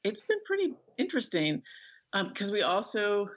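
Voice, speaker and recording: A woman's voice: mid-range voice, wildly swooping pitch, normal speed. Middle-aged woman, conversational, slightly lo-fi recording. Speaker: guest author. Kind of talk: conversational interview.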